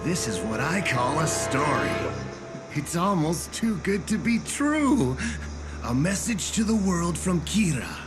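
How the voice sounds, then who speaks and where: An actor playing a greedy, bullying, hot-tempered TV producer in an anime dub, bossy and theatrical. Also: male voice, on the high side, very animated, a little slow. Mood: greedy excitement. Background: music.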